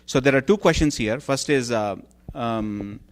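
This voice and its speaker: A man's voice: mid-range voice, unhurried, slight background noise. A man moderating a church Q&A, reading out questions in a hesitant way, full of ums and uhs. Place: church hall.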